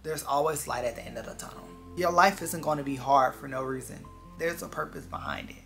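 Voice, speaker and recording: Vlogger, twenties, gravelly fry with a bright edge, earnest reflective chat to viewers over background music. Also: mid-range voice, sing-song, a little fast. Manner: earnest, confiding, repeats phrases for emphasis.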